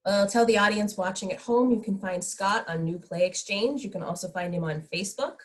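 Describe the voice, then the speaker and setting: A woman's voice: medium pitch, fairly quick. A woman moderating a casual live question-and-answer session over a video call.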